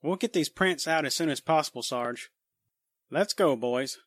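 A male actor plays a crime-scene policeman in a 1940s-style radio drama. His voice is high for a man and dryly recorded, with a brisk, businesslike manner. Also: fairly bright tone, no echo.

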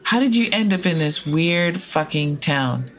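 A woman's voice: deep voice, expressive, a little slow, a little hiss or hum. A woman playing a frank, foul-mouthed time traveler in an audio drama, speaking and narrating in a casual, wry way. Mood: tipsy, candid. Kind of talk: audio drama dialogue and first-person narration.

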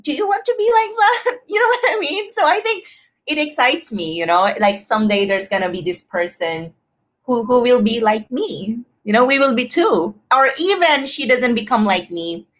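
A woman's voice: fairly high pitch, very animated, normal speed. A woman talking casually and personally as a podcast guest, expressively acting out her own thoughts as quotes.

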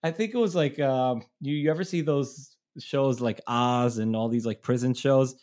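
A middle-aged man from Israel chats as a podcast guest. He tells long road stories in a loose, rambling way, restarting sentences and laughing along. His voice sits at an ordinary middle pitch. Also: normal speed, darkish tone. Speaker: touring musician, guest. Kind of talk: casual storytelling.

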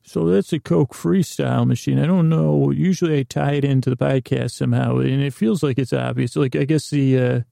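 A man with a heavily creaking, gravelly voice hosts a podcast in a very relaxed, muted tone. His pitch stays fairly even as he wanders through a comic monologue. He keeps stopping, restarting and losing the thread mid-sentence. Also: no echo, studio quality. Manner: halting, self-interrupting, trailing off mid-thought. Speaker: podcast host. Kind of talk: rambling, meandering comic monologue.

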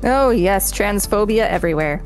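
A woman's voice: medium pitch, lively intonation, moderate pace, soft background music. A woman podcast co-host reading an encyclopedia article aloud and adding commentary.

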